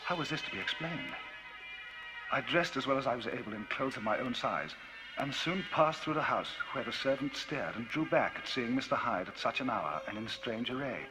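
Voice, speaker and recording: A man giving a dramatic, theatrical reading of a classic novel as the narrator of a vinyl audiobook, his pitch and loudness rising and falling widely. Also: expressive, moderate pace, poor audio. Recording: vinyl audiobook with music bed. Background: music.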